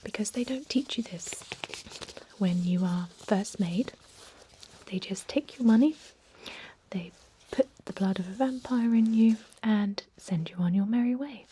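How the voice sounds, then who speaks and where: A woman playing an ancient vampire emergency-room doctor in an ASMR roleplay, speaking in a soft, breathy, confiding whisper with hesitant, unhurried phrasing. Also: medium pitch. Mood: disapproving.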